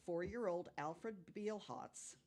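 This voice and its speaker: A woman's voice: on the low side, slow, darkish tone. A woman telling stories of unexplained cases in a casual way, as a guest on a podcast.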